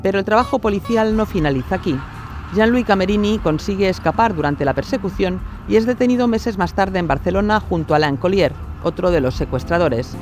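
A man's voice: high voice, expressive, brisk, faint music behind. A man narrating a documentary from a script.

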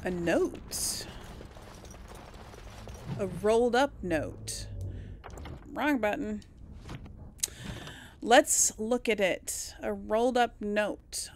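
A woman giving casual let's play commentary and reading game text aloud, with a creaky voice. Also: mid-range voice. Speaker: gaming host. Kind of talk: reads game text and dialogue choices aloud.

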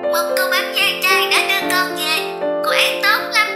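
A woman's voice: extremely high pitch, fairly even intonation, moderate pace. A woman voicing a small chatty girl in a cartoon, sweet and earnest, over background music. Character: small cheerful girl, about five. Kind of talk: cartoon dubbing.

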